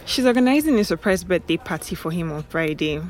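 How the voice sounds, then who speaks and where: A young Nigerian woman, played by an actress in an audio drama, with a slightly low voice. She is a sharp-tongued daughter who is easily embarrassed and talks in a natural way. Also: lively intonation, brisk, lightly acted. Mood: teasing.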